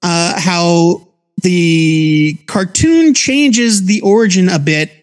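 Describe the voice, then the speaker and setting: High-pitched man chatting about geeky interests. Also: slow. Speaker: co-host of a comics review podcast. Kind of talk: casual review chat.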